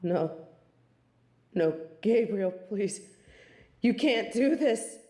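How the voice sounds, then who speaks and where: A woman acting a haughty, mellow-toned fallen angel. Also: medium pitch, expressive, very slow. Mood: pleading, desperate. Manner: haughty, theatrical, disdainful. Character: haughty fallen female angel, indignant, snobbish tsundere. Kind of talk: dramatic audio roleplay acting.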